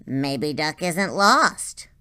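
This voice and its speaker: A woman's voice: low-pitched, big pitch swings, very slow, sharp tone, clean tone, full character voice. A woman voicing a kind, puzzled young male cat in a storybook, earnest and emphatic. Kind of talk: storybook character voice.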